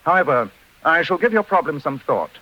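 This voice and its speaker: Composed English detective, lively intonation, precise, courteous, dull 1940s radio recording with music. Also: male voice, fairly high pitch, brisk. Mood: kindly, measured.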